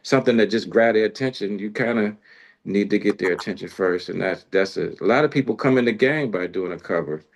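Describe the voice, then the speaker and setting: Low-voiced guy hosting a music chat, slangy and kinda rambling, real casual. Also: a little fast. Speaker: music radio show host and musician.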